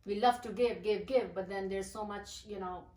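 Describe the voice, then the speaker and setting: A woman's voice: mid-range voice, fairly even intonation, moderate pace, darkish tone. Woman, distant and echoey. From Pakistan. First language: Urdu. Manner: hesitant, many fillers and restarts. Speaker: poet, interview guest. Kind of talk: casual interview.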